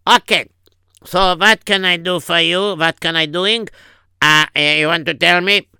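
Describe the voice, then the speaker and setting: A man's voice: on the high side, normal speed, gravelly, sharp tone, smooth, no room sound, voice pushed up, exaggerated voice. A man voicing a chatty, inquisitive female secretary in a comic story. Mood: brisk, officious. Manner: effusive, inquisitive, officious. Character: Mrs. Havemeyer, chatty female secretary with put-on Yiddish-style accent.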